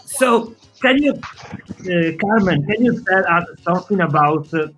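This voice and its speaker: A man with an Italian accent hosts an online show over a video call, friendly and a little halting as he searches for English words. His voice sits a bit high, and faint music plays underneath. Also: expressive, a bit bright, highs cut off. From Italy. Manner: hesitant, friendly, apologetic. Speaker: show host.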